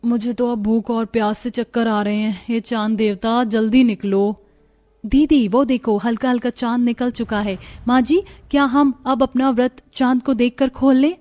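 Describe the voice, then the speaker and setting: A woman's voice: on the high side, steady tone, normal speed, darkish tone, soft background music, close to an everyday voice. A woman voicing an eager, obedient young newlywed daughter-in-law, respectful and earnest, in a cartoon story.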